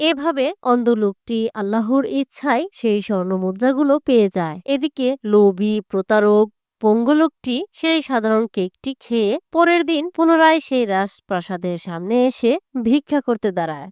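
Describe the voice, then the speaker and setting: High, clear-voiced woman narrator. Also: lively intonation, moderate pace, darkish tone, no echo, studio quality. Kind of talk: scripted storytelling narration.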